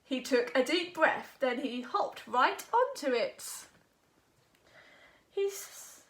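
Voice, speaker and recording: A woman reading a rhyming picture book aloud to children in an expressive, engaging way. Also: high-pitched, slow. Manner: expressive, asks the young listener questions. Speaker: children's storyteller.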